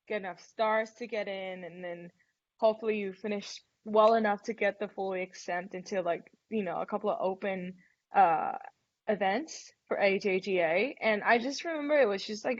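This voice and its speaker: Young woman, from Thailand, Thai native speaker, chatty, lots of fillers, mid pitch, dull lo-fi call audio. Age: young adult. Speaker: college golfer guest. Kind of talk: casual webinar interview.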